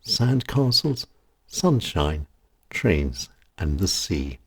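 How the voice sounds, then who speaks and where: A man reads a nostalgic scripted story in a measured, deliberate way with dramatic pauses. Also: low-pitched, big pitch swings, husky. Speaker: storyteller reading own written piece.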